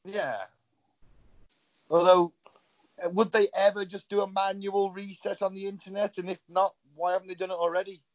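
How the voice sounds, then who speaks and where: Guy on a podcast, bit high voice, dry dead room, dull lo-fi mic, jokey banter. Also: little pitch movement, normal speed, dry recording. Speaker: podcast co-host. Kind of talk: casual banter.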